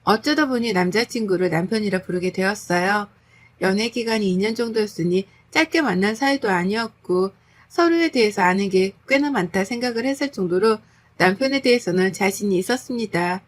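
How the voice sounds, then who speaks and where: Female narrator at a mid-range pitch, calm and steady, reading a confessional story in the first person.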